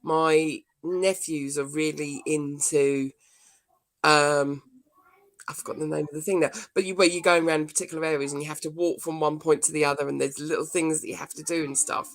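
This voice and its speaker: Middle-aged british woman, low croaky creaky voice, just chatting on a video call. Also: steady tone, brisk. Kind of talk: casual chat. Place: indoor room, video call.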